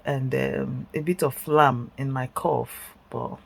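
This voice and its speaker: A woman's voice: rumbling low, steady tone, takes it slowly, gravelly. A middle-aged woman vlogging from bed at home while sick, stuffy and chesty, hesitant with many fillers but staying upbeat. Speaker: vlogger, nurse. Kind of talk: casual story-time vlog.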